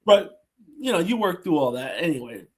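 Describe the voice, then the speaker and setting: Male podcast host, high and lively, tells stories with emphatic repeats. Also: normal speed, husky. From Brooklyn, New York. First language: Haitian Creole. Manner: animated storytelling, emphatic repeats. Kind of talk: conversational interview.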